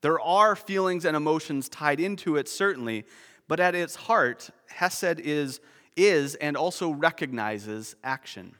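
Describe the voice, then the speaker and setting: A man's voice: fairly high pitch, animated, unhurried, a bit bright, in a large hall. A man preaching a sermon, explaining a word's meaning with nerdy, self-deprecating asides. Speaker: pastor.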